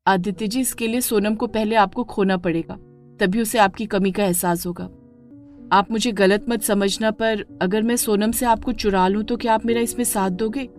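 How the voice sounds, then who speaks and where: A woman's voice: medium pitch, fairly even intonation, normal speed, darkish tone, faint music behind, close to an everyday voice. A woman voicing a sly, attentive young woman who plays the rival in a melodramatic story. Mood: gentle, knowing. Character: caring, sly young woman friend Mukta, coolly stealing a husband. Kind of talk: melodramatic Hindi moral story acting.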